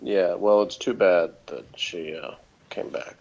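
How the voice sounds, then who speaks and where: A dull, slightly noisy recording carries a man in his thirties with a lowish voice. He chats casually as a reviewer, dry and sarcastic in his delivery. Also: fairly even intonation, a little slow, gravelly, darkish tone. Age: 30s. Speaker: podcast co-host, reviewer. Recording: muffled lo-fi line with noise.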